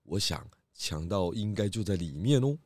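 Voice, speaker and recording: A man's voice: low-pitched, animated, moderate pace, a little mellow, no room sound, studio quality. A man voicing a composed, polite pig police detective who hesitates slightly, in a children's audio drama. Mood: explaining sheepishly.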